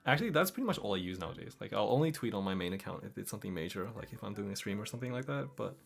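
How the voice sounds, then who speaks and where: A young man with a mixed Australian and American accent, chatting casually as a streamer reading viewer comments, relaxed and quick to laugh, over faint background music. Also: fairly low pitch, creaky. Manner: relaxed, laughs often, trails off softly. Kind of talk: casual late-night chat reading comments.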